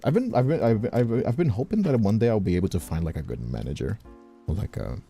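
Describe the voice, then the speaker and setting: A man's voice: on the low side, big pitch swings, fast, gravelly, dark tone, faint music behind. A man who works as a streamer and voice actor, chatting casually in a rambling, candid way and repeating his words. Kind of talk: casual chat.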